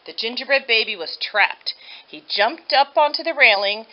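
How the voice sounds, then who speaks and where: A woman reading a children's story aloud with expressive narration. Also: on the high side, normal speed. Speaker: storybook reader. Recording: dull lo-fi audio.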